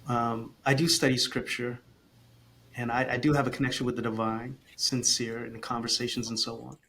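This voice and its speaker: Earnest, expressive man, faint noise. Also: mid-range voice, fairly quick. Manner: earnest, expressive, repeats phrases while thinking. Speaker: guest, communication coach for parents. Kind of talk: casual podcast conversation.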